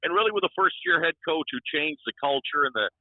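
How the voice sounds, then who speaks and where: American sportscaster on a tinny phone line. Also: male voice, high voice, wildly swooping pitch, fast talker, creaky, no echo. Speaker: radio play-by-play sports announcer. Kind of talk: interview answers, conversational sports talk. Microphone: phone or remote call.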